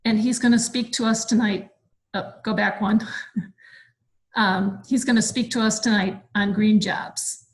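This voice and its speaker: A woman moderating a webinar, relaxed and filling pauses with ums and uhs. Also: medium pitch. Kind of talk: casual moderating.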